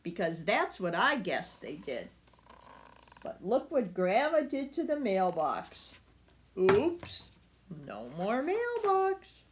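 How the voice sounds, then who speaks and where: An older woman reading a children's story aloud, with asides to her young listeners. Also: mid-range voice. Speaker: storybook reader.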